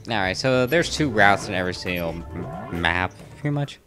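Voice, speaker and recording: A young man hosting a let's-play, chatting in a rambling, casual way and talking back to the enemies on screen, keeping his naturally loud voice down at home. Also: low-pitched, expressive, moderate pace. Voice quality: loud voice held down to a murmur. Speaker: gaming channel host. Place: home room next to a neighbor's bedroom. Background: faint game music.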